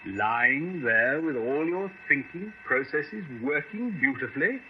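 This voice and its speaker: An eager, animated British surgeon. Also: male voice, a little high, slow pace. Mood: fascinated. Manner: eager, insistent, clinical. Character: zealous, persuasive neurosurgeon, coolly enthusiastic about gruesome experiment. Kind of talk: 1970s radio drama acting. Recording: muffled, noisy old radio broadcast.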